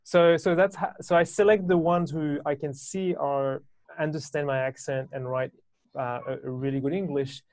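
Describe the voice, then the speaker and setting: A man in his thirties with a French accent, explaining things casually and haltingly as an interview guest on a video call. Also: fairly high pitch, fairly even intonation, a little mellow, no echo. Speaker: guest, business owner and educator. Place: small indoor room, video call.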